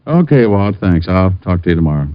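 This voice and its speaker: An actor playing a wisecracking, cool-headed private detective in an old-time radio drama, with a wry, offhand, playful way of talking. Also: male voice, low voice, sing-song, fairly quick, no room sound. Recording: muffled vintage radio broadcast with music.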